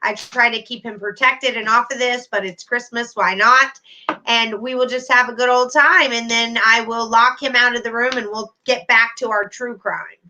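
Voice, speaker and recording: A woman's voice: a little high, fairly even intonation, normal speed, pushed and bright, a little hiss or hum, poor audio. A middle-aged woman hosting a casual livestream, chatting to viewers by name with endearments. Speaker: YouTube livestream host.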